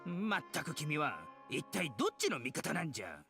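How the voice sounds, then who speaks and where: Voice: medium pitch, very animated, very fast, creaky, fairly bright tone, soft background music. An actor voicing a pompous, blustering old scholar with archaic elderly speech in an anime game. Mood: exasperated. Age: older. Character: pompous, indignant old scholar who calls himself an aged hero. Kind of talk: Japanese anime game voice acting.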